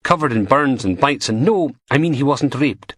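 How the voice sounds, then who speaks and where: A man's voice: mid-range voice, lively intonation, normal speed, a bit bright, dry recording, clean studio sound, voice pushed up. A man voicing a cheeky, teasing young goth woman who works in forensics. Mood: blunt.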